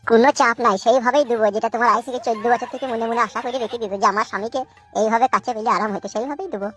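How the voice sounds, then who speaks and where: A man's voice: very high-pitched, steady tone, rapid-fire, fairly bright tone, faint music behind. A man voicing a coaxing, coarse new husband in a comic cartoon, theatrical and colloquial. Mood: excited.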